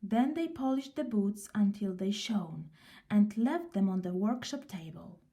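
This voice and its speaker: Woman, children's storyteller, lively swooping pitch, mellow tone, expressive, unhurried. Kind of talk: children's story read aloud.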